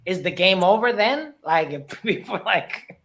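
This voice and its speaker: A man with a slightly high voice speaks as a film review panelist. He is casual and colloquial, posing questions to the group as he talks. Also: expressive, measured pace, a bit bright.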